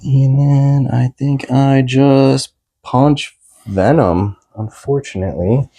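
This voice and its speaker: A man talks through his turns in a tabletop game, relaxed and chatty. His voice has a gravelly fry and a warm, dark tone. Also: mid-range voice, takes it slowly. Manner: relaxed, chatty about his own plays. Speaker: co-host, opponent player. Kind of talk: casual tabletop game talk.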